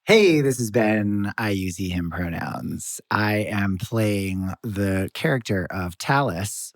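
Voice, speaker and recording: A man's voice: on the low side, no echo. Giggly, rambling man on a podcast. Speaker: player. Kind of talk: casual podcast chat.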